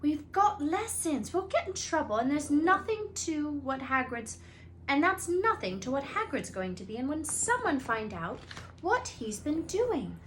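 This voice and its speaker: A woman's voice: sits high, sing-song, measured pace, a bit bright, slight background noise, pitched above the performer's own voice, full character voice. A woman voicing a sensible, anxious schoolgirl in a storybook, bossy and insistent in a scolding way.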